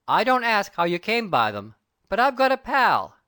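A woman voicing a sentimental male burglar, talking loudly. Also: medium pitch, very animated, normal speed, no echo, clean studio sound. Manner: loud, rough working-class speech.

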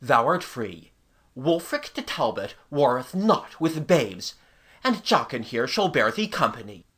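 A haughty, noble knight of old, performed by a woman storyteller. The voice sits very deep and husky, delivering stately, pompous archaic lines with grand rises and falls. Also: sing-song, a little slow, heavily acted. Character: haughty, gallant medieval knight captain, male, gravely noble. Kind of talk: storybook character dialogue.

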